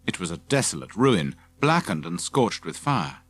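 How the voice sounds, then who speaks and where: A man's voice: deep voice, big pitch swings, normal speed, husky. A man reading scripted children's story narration as an audiobook narrator.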